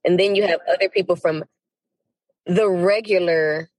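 An American woman from Miami speaking as a podcast guest in a casual interview, telling stories in an animated, emphatic, slangy way. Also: fairly low pitch, normal speed, no echo, poor audio. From Miami, United States.

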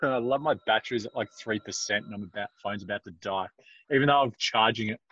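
A man's voice: on the low side, fast, fairly bright tone, breathy edge, no room sound. A man co-hosting a casual podcast, dry and teasing, with a mild grumble. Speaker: podcast co-host. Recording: dry, dull lo-fi sound.

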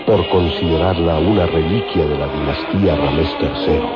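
A man narrating an old radio drama serial in a dramatic, suspenseful scripted style with measured phrasing. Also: very deep voice. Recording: muffled old radio recording with loud music.

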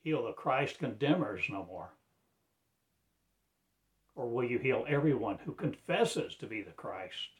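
Man, slow drawn-out delivery, mid-pitched voice, solemn preaching, swells and hushes. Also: lively intonation. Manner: slow, solemn and declamatory, with sudden loud swells and hushed drops. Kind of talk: rambling prophetic monologue.

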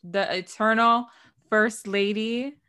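A woman's voice: a little high, steady tone, slow, pushed and bright, clean tone. A woman whose first language is Spanish, co-hosting a podcast in casual chat, rambling and sometimes stumbling over words. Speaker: podcast co-host.

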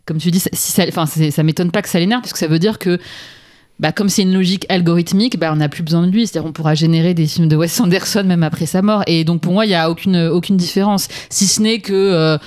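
A woman's voice: on the low side, a little mellow. Frank, opinionated woman critic. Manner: frank, opinionated, quick to laugh. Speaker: film critic guest. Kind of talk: casual film discussion.

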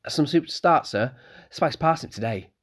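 A bright, eager boy of eleven, voiced by a man serves guests at his father's inn in an audiobook. He is polite and quick to answer, forever adding sir, with a raised, lively voice. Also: a little high, husky, pitched above the performer's own voice.

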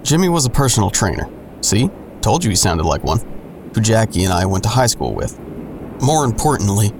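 Low, gravelly, sardonic narrator. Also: male voice, background noise. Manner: dry, sardonic, rambling asides. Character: wry, world-weary male narrator, suspicious and sardonic. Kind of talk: first-person noir-like audio drama narration.

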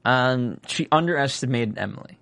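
A man hosting a casual TV recap chat, jokey and raspy, often trailing off mid-sentence. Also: mid-range voice, animated, normal speed, fairly bright tone. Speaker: show host.

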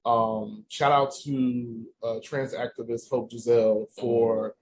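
A man hosts a casual discussion and argues his points with emphatic energy. His voice sits at an ordinary middle pitch, and a faint hiss stays in the background. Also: slow pace, poor audio. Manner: animated, emphatic opinions. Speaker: host.

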